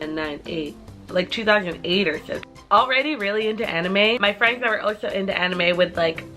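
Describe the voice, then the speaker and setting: A woman's voice: fairly low pitch, fairly bright tone. A woman in her twenties answering viewer questions to her camera in a casual, rambling way, full of "like" fillers. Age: 20s. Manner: chatty, rambling with many "like" fillers. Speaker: vlogger.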